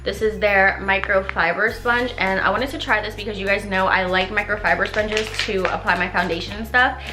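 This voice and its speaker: A woman's voice: medium pitch, steady tone, a little fast, reverberant, over music, low-quality recording. A young woman chatting through a makeup tutorial, rambling and playful, a little congested and nasal. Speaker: beauty vlogger. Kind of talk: casual makeup tutorial chat.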